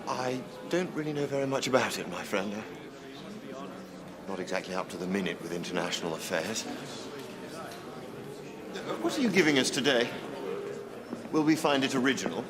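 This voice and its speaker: An actor playing a genial, easygoing aristocratic patron in a period drama, speaking in a genteel, affable way. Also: male voice, mid-range voice, very animated, moderate pace, pauses often, a crowd in the background, close to an everyday voice. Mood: mild, curious. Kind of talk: naturalistic television period drama acting. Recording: reverberant hall with people around.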